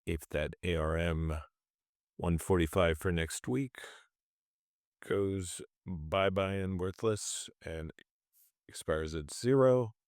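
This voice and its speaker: A man's voice: deep voice, slow pace, a little mellow, no echo, studio quality. A man hosting a stock trading channel, rambling through his trades and correcting himself as he goes, a bit congested from a cold. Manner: rambling, self-correcting, thinks aloud. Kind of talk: casual screen-share commentary on trades.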